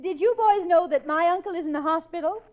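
A poised young secretary, very high and sweet, trading polite jabs in an old radio comedy. The sound is thin and phone-like, with a studio audience clearly heard behind her. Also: quick pace, crowd noise. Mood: sweetly teasing. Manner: polite, sweetly teasing comebacks. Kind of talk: 1940s radio comedy acting. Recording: tinny old radio broadcast, live audience.

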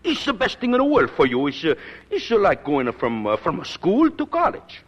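Old Italian singing teacher, broken English, husky lively voice, dull old film sound. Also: male voice, sits high, brisk, full character voice. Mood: resigned. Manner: broken English, emotional, sighing. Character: elderly Italian immigrant singing teacher, tender and proud. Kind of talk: 1940s film acting.

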